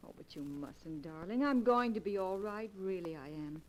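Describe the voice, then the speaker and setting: An actress playing a gentle, tender young wife. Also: female voice, lowish voice, animated, normal speed, lightly acted. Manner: soft, affectionate, reassuring. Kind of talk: 1940s radio acting.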